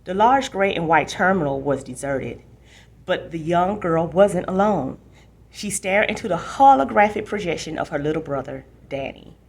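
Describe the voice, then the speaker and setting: Female library host, voice a touch on the low side, casual book talk and read-aloud for young readers. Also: animated, normal speed, husky.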